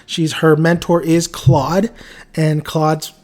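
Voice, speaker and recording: A man's voice: fairly high pitch, flat delivery, slow pace, a little mellow. A man with a Mexican accent giving a casual, rambling video review, often restarting his sentences and chuckling as he talks. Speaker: anime reviewer.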